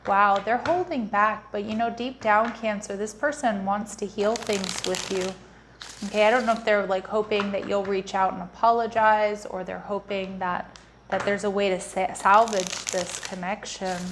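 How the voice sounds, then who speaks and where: Croaky woman giving a chatty tarot reading. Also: medium pitch, fairly even intonation, moderate pace, slight background noise. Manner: chatty, repeats the listener's sign often; frequent restarts and fillers, warm addressing. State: croaky from a cold. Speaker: tarot reader.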